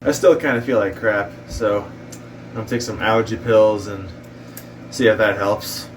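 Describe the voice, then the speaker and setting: A man's voice: lowish voice, steady tone, unhurried, slight background noise. A young man narrating his own diet vlog in a casual, candid way, describing how he feels day to day. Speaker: fitness vlogger.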